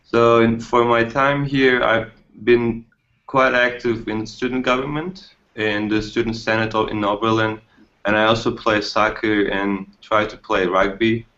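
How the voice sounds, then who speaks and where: A young man introduces himself plainly, in a low and level voice. The sound is dull, as on a webcam call. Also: fairly even intonation, unhurried, fairly bright tone. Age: young adult. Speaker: student panelist. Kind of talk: casual self-introduction.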